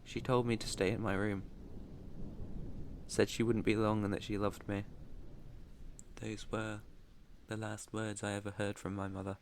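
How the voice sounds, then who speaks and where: A low, even British male voice as a man acts out a protective vampire master in an ASMR roleplay. He is soft-spoken and deliberate, haunted but tender, keeping his pitch in a narrow band. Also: slight background noise, natural voice. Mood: haunted, solemn. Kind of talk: intimate ASMR roleplay monologue.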